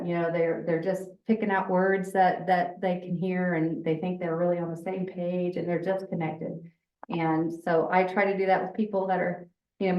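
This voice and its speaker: A woman with a fairly low voice leads a relaxed workshop, talking loudly and easily with frequent laughter. She sounds a little distant from the microphone, in an echoey room, and the sound is slightly dull. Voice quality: lower voice. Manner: loud, chatty, laughs often. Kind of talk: informal workshop talk.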